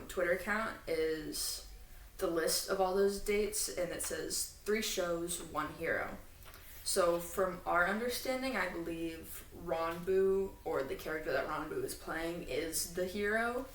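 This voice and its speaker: A woman with a slightly low voice talks casually as a podcast co-host, explaining things like a fan and drifting into asides. She sounds a bit away from the mic in an echoey room, with faint noise behind her. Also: measured pace, recorded from a distance.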